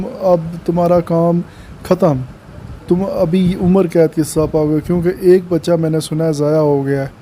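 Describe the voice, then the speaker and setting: A man's voice: on the high side, dark tone, slight background noise, natural voice. A man voicing a threatening, taunting policeman in an amateur cartoon. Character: threatening, gloating policeman hunting down a violent boy. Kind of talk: amateur cartoon voice acting in Urdu.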